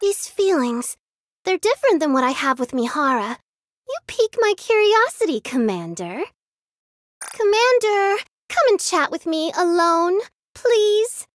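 Cutesy girl soldier, mobile game anime, playful sadist, slow-paced, very animated, dry studio sound. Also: very high-pitched, no room sound, full character voice. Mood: sweet, affectionate. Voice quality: girlish, cutesy. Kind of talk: anime-style mobile game character lines.

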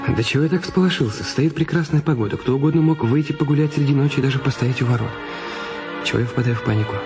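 Male actor playing anxious guilty husband, radio drama, hesitant, mid-pitched, music clearly behind. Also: quick pace, close to an everyday voice. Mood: uneasy, brooding. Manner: hesitant, faltering, self-questioning. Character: Raoul Duval, anxious, guilty husband with secrets. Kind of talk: Russian radio play acting. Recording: music bed under the voice.

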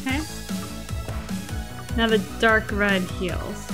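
A woman's voice: mid-range voice, takes it slowly. A woman in her thirties chatting casually as co-host of a game reaction. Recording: loud game music under the voice.

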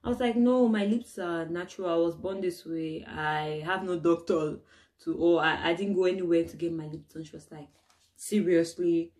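A young woman from Cameroon telling her own stories to the camera, animated and exclaiming, acting out the people she met. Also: on the low side, moderate pace. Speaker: vlogger. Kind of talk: casual personal storytelling to camera.

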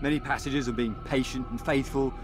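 A man's voice: mid-range voice, fairly even intonation, brisk, lightly acted. An actor voicing a bookish, pedantic male conspirator in a video game. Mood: conspiratorial. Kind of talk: video game cutscene acting. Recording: music underneath.

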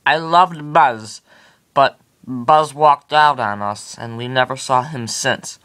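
A man's voice: medium pitch, slow pace, pushed and bright. A man voicing a boastful male toy cowboy who calls himself the Wood and speaks of himself in the third person. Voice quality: cocky, swaggering, talks about himself in third person. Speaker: channel host. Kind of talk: toy comedy skit.

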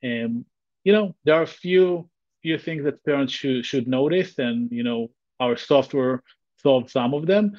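An Israeli-accented man in his thirties talks earnestly from a bright home room over a video call, stumbling into restarts and fillers. The audio is dry and a little dull. His pitch sits mid-range. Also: a little slow, no room sound. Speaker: guest, tech company founder. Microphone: video call webcam.

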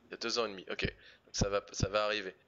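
A man's voice: lowish voice, flat delivery, rapid-fire, fairly bright tone, smooth. Informal male host on a dull video call. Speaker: live stream host, interviewer. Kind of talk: casual chat interview. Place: indoor room, video call.

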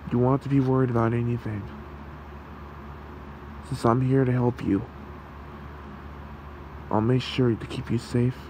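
A man voicing a gentle, protective fox creature in an ASMR roleplay, with a soothing, halting, gravelly delivery. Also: medium pitch, background noise, close to an everyday voice. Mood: tender, reassuring. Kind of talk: ASMR roleplay, soft comforting talk.

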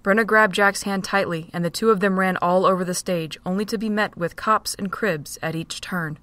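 A woman narrating a fan audio drama in a steady reading voice. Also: mid-range voice, moderate pace, no room sound. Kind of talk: storybook narration.

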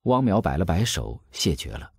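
A man's voice: low voice, big pitch swings, normal speed, darkish tone, husky, dry recording. A man narrating a scripted audio drama in a dramatic storytelling style.

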